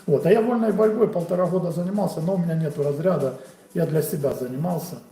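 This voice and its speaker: A man's voice: fairly high pitch, fast, warm tone, faint music behind. Ukrainian-accented man in his forties, echoey room. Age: 40s. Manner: casual, friendly, answers chat questions off the cuff. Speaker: boxing coach. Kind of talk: live stream chat answering viewers. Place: room at home.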